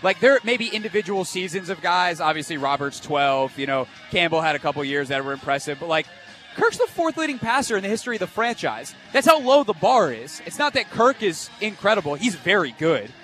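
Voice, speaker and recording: A man hosting a live sports radio show at an event, talking in a fast, animated and emphatic way. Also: sits high, soft background music.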